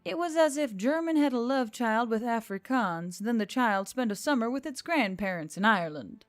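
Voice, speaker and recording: A woman's voice: on the high side, expressive, moderate pace, no echo, clean studio sound. A woman reading scripted fiction as an audiobook narrator. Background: music.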